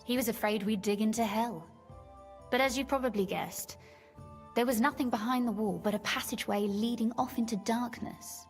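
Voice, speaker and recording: High-voiced young noblewoman, acted by a woman, in reverb. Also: normal speed, natural voice. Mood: nostalgic, intrigued. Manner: genteel, articulate, teasing. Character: young noblewoman, playful, curious, determined, teasing storyteller. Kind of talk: video game dialogue acting. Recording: long reverb tail, faint game music behind.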